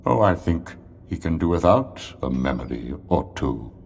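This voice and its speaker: An actor playing a cold, condescending older man in a video game drama, with a measured, imperious delivery. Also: very deep voice, big pitch swings, creaky, a little mellow, breathy edge, heavily acted. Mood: cold, probing. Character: cold, condescending older man scheming over a young witch.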